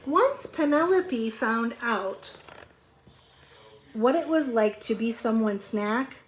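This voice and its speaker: A middle-aged woman reads a picture book aloud to young children, pausing to explain simply and ask questions in a conversational way. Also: a little high, animated. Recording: clearly noisy home recording.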